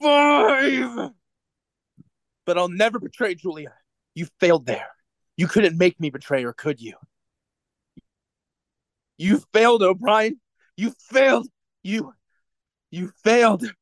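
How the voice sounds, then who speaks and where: Man acting a high-voiced, anguished broken prisoner. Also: big pitch swings, slow pace, gravelly, pauses often, dry recording, exaggerated voice. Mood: anguished defiance. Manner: anguished, pleading, repetitive. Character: broken, terrified male political prisoner under torture. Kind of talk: radio drama acting.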